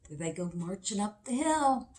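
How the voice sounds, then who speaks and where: A woman reading a picture book aloud expressively for children, with deliberate emphasis. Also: medium pitch, very animated, a little mellow, slight background noise. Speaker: children's story reader.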